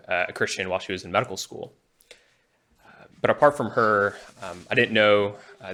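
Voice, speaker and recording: A young man from Alabama in the United States giving a personal testimony, often halting and restarting his phrases. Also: lowish voice, pushed and bright. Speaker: church member giving testimony.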